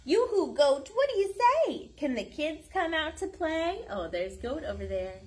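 High, swooping young woman reading to a toddler. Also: big pitch swings, normal speed, a little mellow. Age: young adult. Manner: playful, exaggerated, sing-song, encouraging. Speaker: mother reading storytime to her toddler. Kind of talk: animated picture-book read-aloud with child-directed chat. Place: outdoor backyard patio. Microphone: camera a few feet away.

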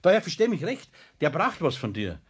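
A man's voice: fairly high pitch, huge pitch swings, a little fast, slightly rough, heavily acted. An actor playing a fussy, awkward man with a Bavarian accent in a children's radio play, hesitant and evasive. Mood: awkwardly evasive. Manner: hesitant, evasive, often ending in a questioning tag. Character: fussy, awkwardly evasive male friend of craftsman.

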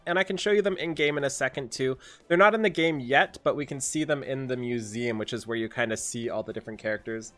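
Higher-pitched excitable young man, casual gaming commentary. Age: young adult. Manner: excitable, repetitive, hyperbolic. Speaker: gaming video host and streamer. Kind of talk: casual game update commentary. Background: music.

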